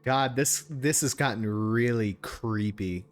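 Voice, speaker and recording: A man's voice: mid-range voice, takes it slowly, faint music behind. A man giving casual, sarcastic and sweary live commentary as he plays a video game. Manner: sarcastic, profane.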